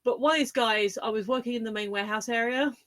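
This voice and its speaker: A woman chats casually as a podcast co-host. Her voice sits slightly high, and she tells small anecdotes in a conversational way. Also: fast talker, fairly bright tone.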